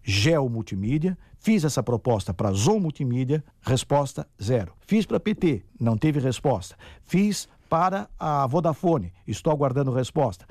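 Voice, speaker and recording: A man argues insistently, his mellow voice rising and falling with lively pitch. The sound is dry and close, as in a radio interview. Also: moderate pace, dry recording. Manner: insistent, rhetorical. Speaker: guest.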